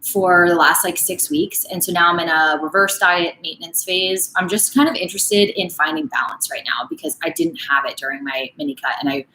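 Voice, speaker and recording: A woman's voice: fairly low pitch, brisk, few pauses, pushed and bright, reverberant, rough recording. A woman in her late twenties, a fitness coach casually answering viewer questions in a live stream, full of "like" and "um" fillers. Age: late 20s. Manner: casual, chatty, frequent "like" and "um" fillers.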